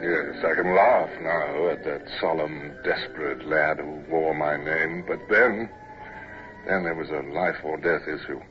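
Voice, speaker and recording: A deep-voiced reflective naval narrator. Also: male voice, steady tone, faint music behind. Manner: reflective, measured. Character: grown male naval officer recalling his youth. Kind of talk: 1940s radio narration. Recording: dull lo-fi old radio recording with faint hiss.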